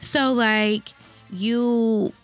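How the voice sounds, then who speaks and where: A woman's voice: on the high side, fairly even intonation, extremely slow, soft background music. A young woman co-hosting a casual chat podcast, congested with a stuffy nose, talking in a sassy, blunt way and laughing easily. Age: young adult. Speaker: podcast co-host.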